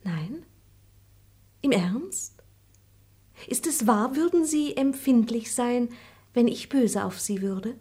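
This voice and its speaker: A woman's voice: a little high, animated, slow pace, long pauses, warm tone, husky, full character voice. Playful young lady. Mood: teasing. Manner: playful, teasing. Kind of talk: radio drama acting.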